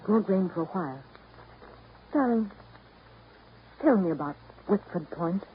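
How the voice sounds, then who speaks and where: A woman's voice: medium pitch, lively intonation, measured pace, close to an everyday voice. An affectionate, forthright sweetheart, played by a woman in a 1930s radio play. She talks intimately, coaxing and teasing her man, heard through a tinny, faintly noisy old recording. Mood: teasing, curious. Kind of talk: 1930s radio drama acting.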